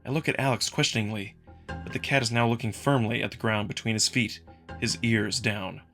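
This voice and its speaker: A man narrates a visual novel in the first person. His reading is dramatic. The voice has a gravelly grain and a forceful, bright edge. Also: lowish voice, fairly even intonation, fairly quick, soft background music. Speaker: narrator and voice performer.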